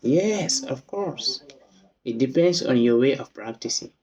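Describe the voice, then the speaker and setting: A man teaches English, reading each simple dialogue line twice. His pace is very slow and deliberate. The recording sounds dull and lo-fi. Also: medium pitch, expressive, a crowd in the background. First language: Wolof. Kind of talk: slow read-along English lesson.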